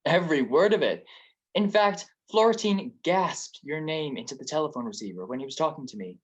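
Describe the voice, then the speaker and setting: A man's voice: fairly high pitch, expressive, a little fast, close to an everyday voice. A man playing a shrewd, wry married private detective in a 1940s-style radio drama. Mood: wry, accusing. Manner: wry, teasing.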